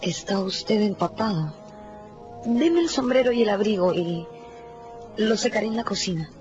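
An actress playing a chatty, fussing innkeeper in a radio drama, with a solicitous, theatrical manner. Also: female voice, medium pitch, animated, moderate pace, darkish tone, close to an everyday voice. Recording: muffled radio production with music.

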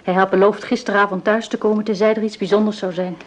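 An actress playing an anxious, gentle married woman in a radio play, earnest and concerned. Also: medium pitch, flat delivery, fast, lightly acted. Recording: faintly noisy old radio play recording.

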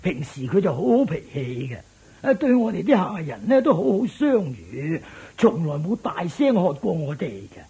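An actor playing an anxious, deferential old family servant in a radio drama, with a raspy, creaky voice and a stammering, sighing way of talking. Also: male voice, fairly high pitch, sing-song, moderate pace, warm tone, exaggerated voice. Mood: troubled, confiding. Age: older.

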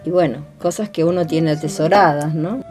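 An older woman with an Argentine accent reminisces in an interview, reflective and halting, her phrases trailing off. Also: sits low, loud music behind the voice.

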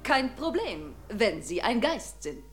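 A woman playing a brisk, showy television reporter in a German audio drama. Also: medium pitch, very animated, soft background music. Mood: showy, excited. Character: sensational female TV magazine reporter, brisk and showy.

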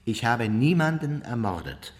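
An actor playing an older Nazi war criminal under interrogation in a radio drama, giving terse, evasive, defiant denials. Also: male voice, medium pitch, sing-song, measured pace. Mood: evasive, guarded. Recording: reverberant interrogation room.

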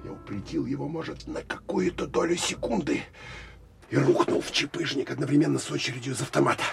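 An actor playing a hardened, sardonic counterintelligence officer who tells of an armed pursuit in an intense, expressive first-person audio drama. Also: male voice, mid-range voice, a little fast, gravelly, over loud music. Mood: strained, cunning. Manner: intense, expressive storytelling with wry asides.

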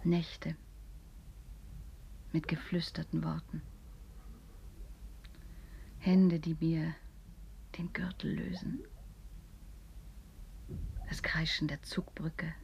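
A woman's voice: lowish voice, a little hiss or hum. An actress playing a proud, wounded drowned noblewoman in a German radio play, with a theatrical, defiant delivery. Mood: wistful, hushed. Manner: theatrical, defiant, sinking into breathy whispers. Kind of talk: German radio play acting, literary dialogue. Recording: dull, muffled radio drama recording.

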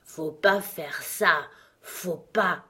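A woman voicing a very old female frog in a storybook, grumbling in a slow, hoarse drawl with rustic, ungrammatical speech. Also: fairly low pitch, bright, forceful tone, full character voice. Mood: muttering. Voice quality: hoarse, husky.

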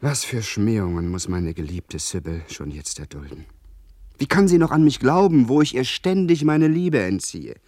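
A man's voice: mid-range voice, big pitch swings, moderate pace, a little mellow, no room sound, studio quality. An actor playing an earnest young English nobleman in a German radio play, with theatrical, formal diction. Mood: despairing.

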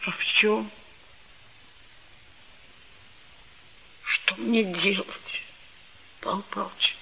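Schoolboy narrator: high-pitched, unhurried, earnest and self-pitying, vintage radio drama. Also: male voice, steady tone, creaky, a little hiss or hum, full character voice. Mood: sullen, guilty. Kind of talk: 1960s Soviet radio play, first-person narration. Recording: dull old radio-play tape with loud music.

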